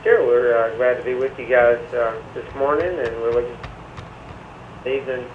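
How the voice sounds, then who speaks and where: A man from Middle Tennessee, talking about his music in a relaxed, casual way with many pauses and "uh" fillers. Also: medium pitch, a little hiss or hum, low-quality recording. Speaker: musician guest. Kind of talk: casual interview answers. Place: remote link to a TV studio.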